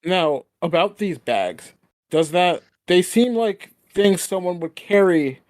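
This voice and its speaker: A man's voice: high-pitched, expressive, takes it slowly, dry recording. A man talking casually as a player at a tabletop role-playing game.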